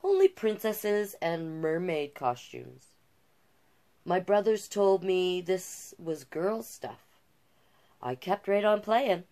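Slow-paced, low-voiced woman reading storytime. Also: lively intonation, warm tone. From Canada. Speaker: drag king storytime host. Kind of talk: reading a picture book aloud with asides. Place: small cluttered indoor room.

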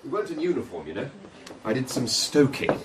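A man's voice: mid-range voice, very animated, brisk, crowd noise, close to an everyday voice. A man acting in a radio play as a breezy, privileged young ex-officer. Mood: breezy, dismissive. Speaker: actor in an audio play. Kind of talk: British radio drama acting.

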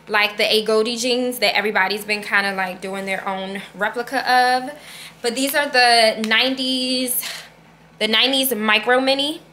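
A woman in her thirties runs through a clothing haul at home, chatty and upbeat. Her voice sits a little high and sounds bright and forward. The room around her is echoey, heard through a camera mic a few feet away. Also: slight background noise. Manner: chatty and upbeat, addresses viewers as y'all and sis. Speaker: fashion vlogger. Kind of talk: casual haul review to camera. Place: living room with plants, indoors.

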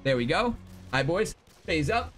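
A young man in his twenties live-streaming a game from his home setup, chatting excitably with viewers, swearing freely and going hoarse. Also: fairly high pitch, moderate pace, faint music behind. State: losing his voice. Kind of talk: live gaming stream chat. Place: home gaming room.

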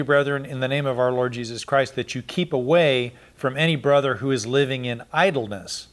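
A middle-aged man giving a verse-by-verse Bible study, explaining and repeating Greek words, seated in a small studio with a lapel mic. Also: medium pitch. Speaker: Bible teacher.

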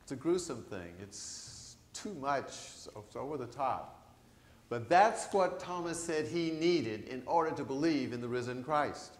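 An older man, a priest, preaching a conversational sermon to his congregation, animated and asking them questions. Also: medium pitch, a little slow. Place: large church sanctuary. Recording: echoey church sanctuary.

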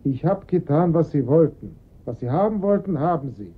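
Husky, strained father. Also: a little high, animated, normal speed. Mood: tense, determined. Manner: insistent, self-justifying. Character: strained father of a kidnapped boy, engineering draughtsman. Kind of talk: German radio crime drama acting. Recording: muffled lo-fi radio play recording.

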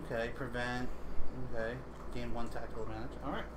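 A man's voice: mid-range voice, level tone, brisk. A man chatting casually while playing a tabletop game and reading rules aloud. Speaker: board game player. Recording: clearly noisy livestream room recording.

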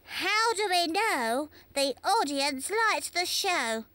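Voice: very high-pitched, big pitch swings, slow pace, sharp tone, heavily acted. A performer voicing a cheerful young tiger puppet who hosts a children's quiz, bouncy and sing-song. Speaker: quiz host. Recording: children's show with music underneath.

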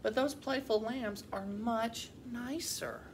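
A woman voicing a gentle mother hen in a storybook, wistful and easily upset, with a plaintive, sighing way of talking. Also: a little high, slow pace, noisy background, pitched above the performer's own voice. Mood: wistful, hopeful.

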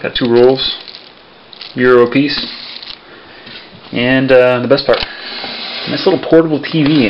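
Young guy rambling at his workbench, lots of ums, muffled camera mic, bit of hiss. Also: mid-range voice. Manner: relaxed, rambling, many fillers. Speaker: electronics hobby vlogger. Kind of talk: casual show-and-tell vlog. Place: home workbench, indoors. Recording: dull lo-fi camera audio with faint hiss.

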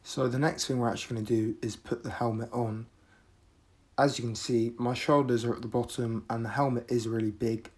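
Flat-toned man doing a casual review. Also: medium pitch. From United Kingdom. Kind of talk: casual product review narration.

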